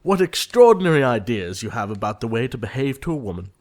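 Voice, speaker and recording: A man playing an earnest young gentleman suitor in a period comedy audio play, formal and easily exasperated. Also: mid-range voice, big pitch swings, quick pace, lightly acted. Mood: irritated. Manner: formal, earnest, fussily indignant.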